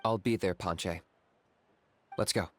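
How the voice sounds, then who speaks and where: Deadpan, low-pitched young hunter. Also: male voice, background noise. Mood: calm, practical. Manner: deadpan, matter-of-fact, measured. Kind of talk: understated video game acting.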